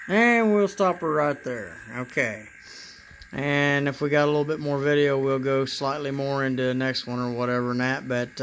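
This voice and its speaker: Slow, raspy-voiced man with halting speech. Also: on the high side, fairly bright tone, a little hiss or hum, low-quality recording. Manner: halting, with many fillers and repeated words. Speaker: model railroad hobbyist. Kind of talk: casual hobby demonstration.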